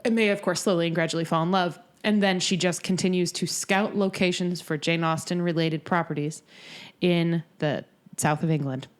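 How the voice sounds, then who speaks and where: A woman's voice: fairly low pitch, fairly even intonation, moderate pace, hardly any pauses. A woman co-hosting a podcast in casual chat, wry and rambling with many fillers. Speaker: podcast co-host.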